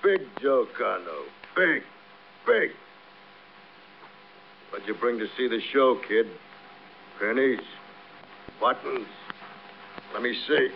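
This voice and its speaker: An actor playing a big, childish, bragging hoodlum who is wounded and dying, in an old radio crime drama. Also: male voice, medium pitch, animated, takes it slowly, vocal fry, pauses often. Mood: taunting. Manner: taunting, rambling, repeats himself. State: wounded, labored speech. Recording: muffled, noisy vintage radio recording.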